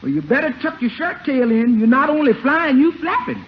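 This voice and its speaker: An actress playing a teasing, folksy, fond housekeeper in a 1950s radio sitcom. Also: female voice, sits high, animated, brisk. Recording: muffled, very noisy old radio recording.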